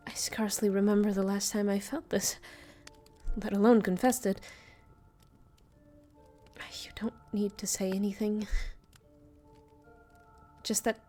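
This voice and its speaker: A woman playing a centuries-old vampire master, tender and weary, confiding softly in an intimate audio roleplay. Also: medium pitch, little pitch movement, faint music behind, natural voice. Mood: lovesick, confessing. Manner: soft, slow, hesitant, confiding.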